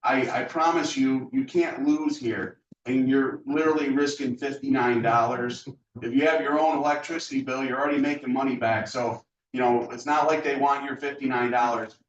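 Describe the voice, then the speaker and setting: Laid-back man pitching in an echoey room. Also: steady tone, moderate pace, slight background noise. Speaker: sales rep addressing guests. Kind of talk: relaxed sales pitch. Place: meeting room with an audience. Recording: dull, echoey room, far from mic.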